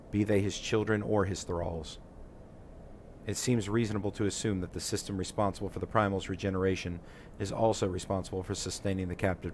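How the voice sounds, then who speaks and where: A man voicing a solemn, articulate young nobleman from a fantasy game in formal, deliberate declamation. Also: deep voice, slight background noise, natural voice. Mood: grave. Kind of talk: dramatic reading of game dialogue.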